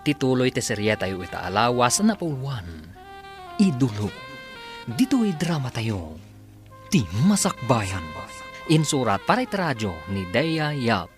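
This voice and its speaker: A male announcer introduces a radio drama and reads scripted credits. His voice is mid-range and very varied in tone, with music clearly underneath. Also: mid-range voice, sing-song, a little slow.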